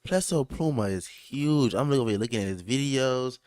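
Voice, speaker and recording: A man's voice: medium pitch, lively intonation, moderate pace, a little mellow, smooth, no echo. A man co-hosting a casual podcast chat, rambling and searching for words as he goes. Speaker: podcast co-host.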